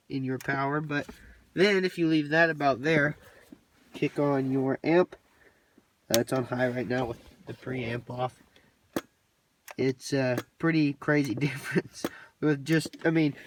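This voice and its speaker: A man giving a casual, hands-on walkthrough in a plainspoken, folksy way with colloquial grammar. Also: medium pitch. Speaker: hobbyist presenter demonstrating his radio gear. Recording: distant mic, light background noise, clicks.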